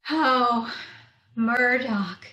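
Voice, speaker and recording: A woman playing a wry, bossy younger woman who is easily smitten, in old time radio comedy style with deliberate delivery. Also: on the high side, pushed and bright, exaggerated voice. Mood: smitten, flustered. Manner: dry, deliberate, stammering when flustered. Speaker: amateur radio troupe actor. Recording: roomy echo on video call.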